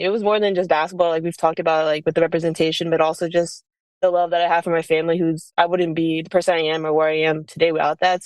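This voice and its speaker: A woman's voice: fairly low pitch, a little mellow, no room sound. A woman in her early twenties from the United States, chatting casually as an interview guest, peppering her talk with "like" and "you know". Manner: informal, frequent "like" and "you know". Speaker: college basketball player, interview guest.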